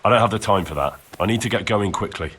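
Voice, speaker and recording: A man's voice: lowish voice, expressive, fast, a bit bright. An actor playing an earnest, plain-spoken young medieval commoner in a video game, direct in his manner. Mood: urgent. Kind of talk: video game dialogue acting. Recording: music clearly behind the voice.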